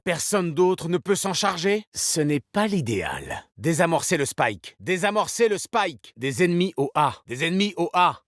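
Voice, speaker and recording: An actor playing a suave, vain, courteous video game agent with a polished, smug delivery of short tactical lines and taunts. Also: male voice, on the high side, a bit bright, no room sound, studio quality. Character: suave, vain male agent, polite and self-assured.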